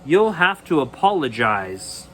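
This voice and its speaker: A man's voice: fairly high pitch, big pitch swings, slow pace, fairly bright tone, slight background noise, exaggerated voice. A man voicing a firm mother in a storybook, stern and reproving. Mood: scolding.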